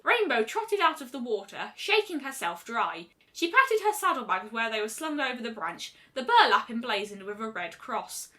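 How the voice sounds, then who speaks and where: A woman narrates a scripted story. Her voice is fairly high and bright, and her pitch moves around in an animated way as she reads. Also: normal speed, hardly any pauses. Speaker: fan fiction reader, narrator.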